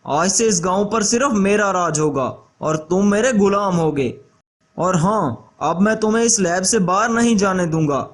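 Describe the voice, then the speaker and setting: A man's voice: high-pitched, a little slow. A man voicing a greedy, vengeful traitor scientist in a children's story, boastful and threatening. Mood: threatening, commanding.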